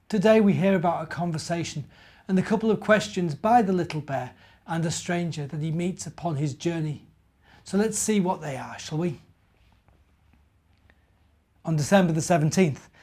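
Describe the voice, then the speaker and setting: A middle-aged man, a minister, telling stories to young children and chatting to them directly with gentle questions. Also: high-pitched. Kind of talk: children's storytelling and gentle chat.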